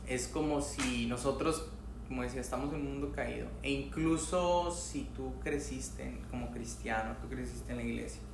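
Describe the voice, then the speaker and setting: A man's voice: medium pitch, normal speed, reverberant, noisy background. A man from Mexico with a Mexican accent, sharing his faith in an earnest, reflective chat, often restarting his sentences. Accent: Mexican Spanish. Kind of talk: casual faith talk.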